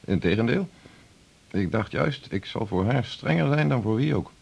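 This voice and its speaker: Deep gravelly older-sounding doc, teasing but fond. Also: male voice, lively intonation, moderate pace, a little mellow, lo-fi sound. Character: senior male doctor, gruff but fond. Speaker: senior hospital doctor.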